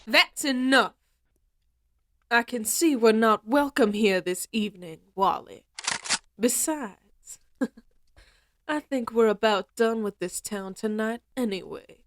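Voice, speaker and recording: A slow-drawling, taunting outlaw ringmistress. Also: female voice, fairly high pitch, a bit bright, a little hiss or hum. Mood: smug farewell. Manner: theatrical, mock-polite, drawling threats. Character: taunting female outlaw circus ringmaster, coolly menacing. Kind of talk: Old West audio roleplay acting.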